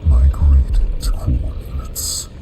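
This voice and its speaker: An actor playing a cold, malevolent, dying artificial intelligence in a video game, with halting, clipped, machine-like speech. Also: male voice, flat delivery. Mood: flat, failing. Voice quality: very deep, gravelly, machine-like. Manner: halting, clipped, report-like phrasing. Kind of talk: video game cutscene acting. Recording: loud music behind the voice.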